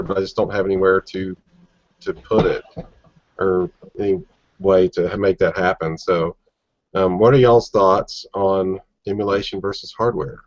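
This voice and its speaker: A man's voice: low-pitched, no echo. A man chatting casually and informally as he leads a panel discussion on a video call, in folksy phrasing. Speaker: collector panelist leading discussion. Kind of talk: casual chat. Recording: dull lo-fi video-call audio.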